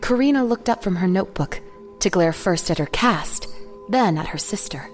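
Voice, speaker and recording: A woman's voice: on the low side, expressive, moderate pace, faint music behind. A woman narrator reading a scripted story for an audio drama.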